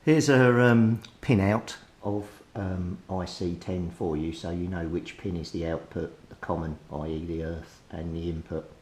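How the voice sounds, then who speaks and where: A man's voice: fairly even intonation, a little slow, few pauses, darkish tone. A man giving an unscripted, methodical repair walkthrough as a hobbyist, thinking aloud as he works. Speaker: hobbyist radio repairer presenting his progress. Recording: roomy echo, clear rumbling background noise.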